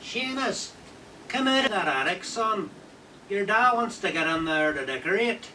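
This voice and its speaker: Heard from a distance over faint noise, a woman plays a concerned mother in a radio drama. Her voice is very bright and piercing. She nags and coaxes with exasperation, calling out to her son. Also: mid-range voice, lively intonation, measured pace. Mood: coaxing, concerned. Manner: coaxing, exasperated. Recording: distant, muffled-off voice with background noise.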